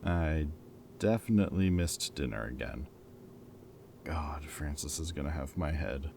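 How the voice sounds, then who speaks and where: Casting: man as wry ex-villain friend, bottom-of-range dark voice, conversational and earnest, some noise behind. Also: a bass voice, a little slow, vocal fry, exaggerated voice. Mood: warm, casual. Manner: casual, teasing, earnest. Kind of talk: roleplay audio drama acting, conversational.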